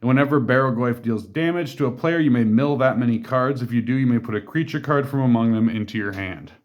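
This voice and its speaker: A man's voice: medium pitch, fast, highs cut off. A man in a casual deck walkthrough who reads card text aloud, then chats loosely about each card, with fillers and asides along the way. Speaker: card game deck reviewer.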